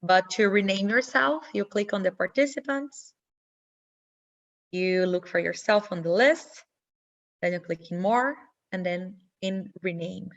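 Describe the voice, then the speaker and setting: A woman's voice: medium pitch, a little slow, muffled recording. A young Brazilian woman hosting an online info session over a video call, explaining steps in a friendly, instructive way with small slips. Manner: friendly, instructive, non-native English with slips. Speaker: webinar host.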